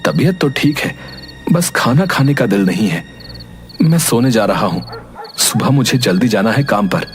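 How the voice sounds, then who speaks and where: A man playing an honest, humble Brahmin bullock-cart driver in a moral-story cartoon, speaking earnestly and deliberately. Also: lowish voice, lively intonation, faint music behind, close to an everyday voice. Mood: downcast. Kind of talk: acted Hindi moral-story cartoon dialogue.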